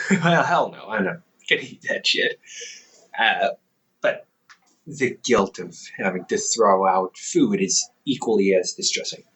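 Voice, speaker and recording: A man's voice: fairly low pitch, wildly swooping pitch, a little slow, sharp tone, recorded from a distance, exaggerated voice, poor audio. A man voicing a caring, goofy older brother in an audio roleplay, playful and chatty, laughing often.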